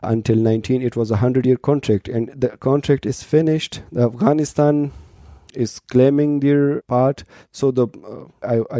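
A man in his thirties from Pakistan, a native Pashto speaker, answers interview questions in English. His voice is very dark and mellow, recorded close and dry, and he explains things patiently, pausing and restarting often. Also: mid-range voice, no echo. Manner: explanatory, hesitant with fillers and restarts. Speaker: interview guest, doctoral student.

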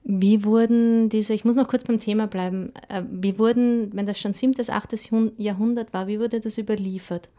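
A woman hosts a panel interview in German. She puts polite, orderly questions to each guest in turn. Her voice sits at a middle pitch. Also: fairly even intonation, moderate pace, hardly any pauses, mellow. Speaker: interview host, moderator.

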